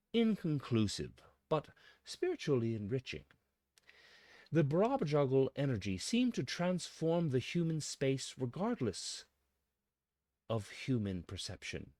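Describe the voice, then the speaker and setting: A man delivering a scripted mock-academic monologue in a pompous, philosophical manner with dramatic pauses. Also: mid-range voice, darkish tone, no room sound, clean studio sound. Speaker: presenter of a mock-scholarly essay.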